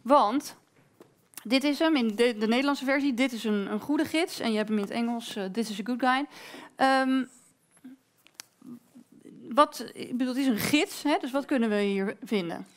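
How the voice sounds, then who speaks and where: A woman interviewer with a high voice hosts a conversation on a small dark stage. She talks in a chatty, playful way, with many small fillers and restarts, into a handheld mic. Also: moderate pace. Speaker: interviewer and presenter. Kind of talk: stage interview in front of an audience. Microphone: handheld microphone.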